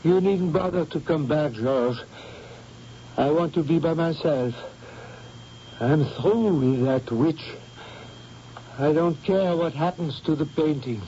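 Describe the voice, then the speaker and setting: An actor playing a desperate, volatile young painter who talks in frantic, broken phrases, in a 1970s radio drama. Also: male voice, on the high side, slow pace, pauses often, dark tone. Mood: bitter. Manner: frantic, pleading, breaking off mid-phrase. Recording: dull old radio broadcast, faint hiss.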